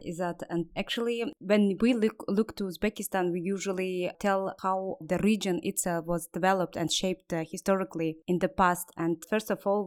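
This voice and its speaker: A woman from Asia, explaining informally and haltingly as a podcast guest. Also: medium pitch, darkish tone. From Central Asia. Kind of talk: informal explaining, hesitant and searching. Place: indoors, sitting with host in Berlin.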